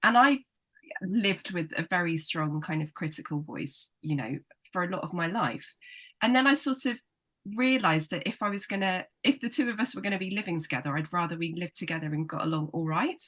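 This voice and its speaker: Woman therapist, bright clear voice, warm explaining manner, podcast guest. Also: fairly low pitch, brisk. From United Kingdom. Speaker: psychotherapist guest. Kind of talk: casual chat, explaining.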